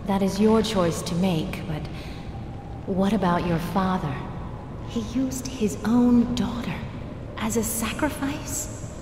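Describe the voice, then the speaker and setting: Casting: a woman to play a grave, composed exorcist in a horror game; measured delivery, cavernous echo, noisy bed. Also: medium pitch, natural voice. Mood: grave, resolute. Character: calm, resolute woman exorcist of ancient Japan. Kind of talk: dubbed horror game acting. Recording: echoey cavernous reverb with noise.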